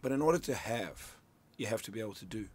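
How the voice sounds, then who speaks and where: A man's voice: fairly low pitch, lively intonation, quick pace, a little mellow, slightly rough, dry recording. Middle-aged man from Zimbabwe, talking loosely. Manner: loose and conversational, many restarts and fillers. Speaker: sales coach and guest. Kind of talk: radio interview, explaining ideas. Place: radio studio.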